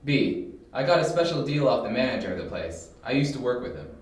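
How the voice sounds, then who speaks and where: A man's voice: medium pitch, very animated, brisk, lightly acted. A man acting a teasing but helpful older brother in an amateur audio drama, with a drawling delivery. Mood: curious, skeptical. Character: nosy older brother, teasing yet helpful, named Mike. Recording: very echoey room, distant mic.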